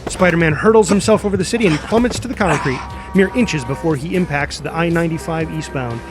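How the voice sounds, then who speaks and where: A man narrates a screenplay, reading scene headings and action with drama and spoken sound effects. His voice is a little high for a man. Music is clearly heard behind him. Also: quick pace. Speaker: narrator reading stage directions.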